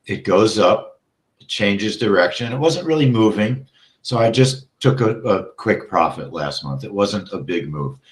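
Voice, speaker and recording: Low, slow man getting hoarse. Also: roomy sound. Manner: steady, explains step by step, often checks with "okay?". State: getting hoarse, clears throat often. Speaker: trading instructor. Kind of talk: live trading walkthrough.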